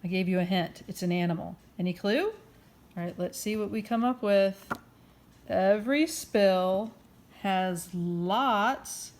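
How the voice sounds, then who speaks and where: A woman with a mid-pitched voice reads a picture book to children. Her delivery is expressive and encouraging, moving between reading aloud and chatty questions to the listeners. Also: unhurried, slight background noise. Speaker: children's story time host and reader.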